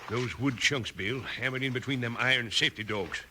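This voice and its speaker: An actor playing a wary, wry American mine hand in an old radio western. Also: male voice, medium pitch, a little fast, lightly acted. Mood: wary, explaining. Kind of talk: 1950s radio western acting. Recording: noisy old 1950s radio recording.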